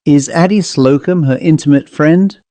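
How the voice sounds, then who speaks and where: A man's voice: fairly high pitch, moderate pace, mellow, dry recording, pitched above the performer's own voice, natural voice. A man voicing an earnest, anxious country woman, an aunt in a storybook, hesitant yet insistent. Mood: wistful.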